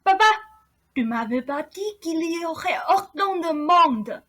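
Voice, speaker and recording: Shy, anxious boy prince voiced by a woman, high pitch, slow hesitant delivery, radio drama. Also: animated, fairly bright tone, heavily acted. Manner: hesitant, timid. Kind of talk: radio play acting.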